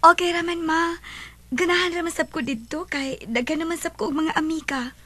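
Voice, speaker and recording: A woman with a Filipino Cebuano accent playing a sweet, lovestruck high school girl in a radio drama, girlish and emotive. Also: fairly even intonation, normal speed, sharp tone. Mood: earnest. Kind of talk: radio drama acting and first-person narration. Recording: dramatic music behind the voice.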